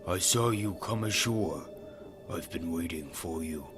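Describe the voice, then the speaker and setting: A man playing an earnest, humble little villager in an animated fantasy, speaking with reverence. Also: low voice, a little slow. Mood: reverent. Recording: music behind the voice.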